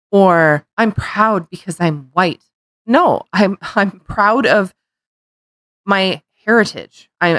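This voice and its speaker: A lower-pitched woman in middle age co-hosts a casual podcast, rambling and circling back on herself as she vents. The recording is dry and close. Her talk is peppered with restarts and the odd curse. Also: fairly bright tone, no echo. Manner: heated, rambling, swears, frequent restarts. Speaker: podcast co-host. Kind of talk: casual opinion chat.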